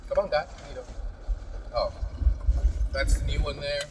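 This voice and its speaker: A man's voice: on the high side, a little slow, recorded from a distance, noisy background. A man who is a plumber, giving a rambling, teasing voice-over for a do-it-yourself repair video and talking straight to the viewer. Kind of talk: joking DIY voice-over. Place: small bathroom.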